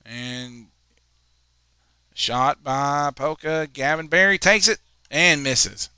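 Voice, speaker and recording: A man in his twenties calling a high school soccer game, chatting in rambling asides between plays. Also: slow, pushed and bright, smooth, no echo, studio quality. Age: 20s. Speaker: play-by-play commentator.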